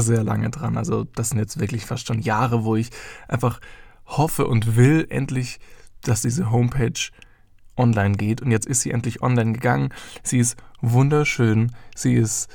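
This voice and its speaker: Gravelly young man chatting on a podcast. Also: medium pitch, a little mellow, no room sound, studio quality. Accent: German. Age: young adult. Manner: chatty, rambling, with many fillers. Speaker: podcast host. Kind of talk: casual solo podcast.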